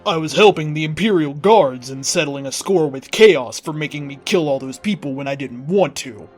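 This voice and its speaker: A man hosting a reading of fan fiction, narrating with theatrical, deliberate emphasis. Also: a little high, expressive, faint music behind. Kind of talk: dramatic scripted story reading.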